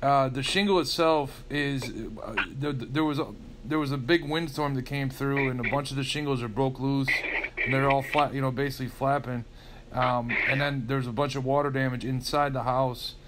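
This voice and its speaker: A man's voice: mid-range voice, fairly even intonation, moderate pace, background noise. A man working as a public insurance adjuster asks questions on a recorded phone call, repeating words and restarting often. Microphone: telephone call recording.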